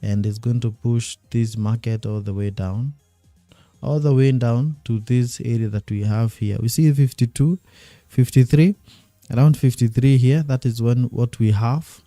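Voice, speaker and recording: A man streaming live trading commentary, thinking aloud and repeating himself while he watches the charts, his voice going a little hoarse. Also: mid-range voice, measured pace, mellow, no echo, faint music behind. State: losing his voice.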